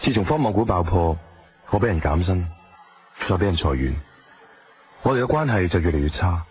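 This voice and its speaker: Hong Kong accent, lowish pitch, weary wounded husband, earnest radio-drama delivery, muffled recording. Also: male voice, expressive, brisk. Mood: reflective, rueful. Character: weary estranged husband, taxi driver, earnest but wounded. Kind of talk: radio drama acting, with dialogue and reflective narration. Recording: dull lo-fi radio drama audio.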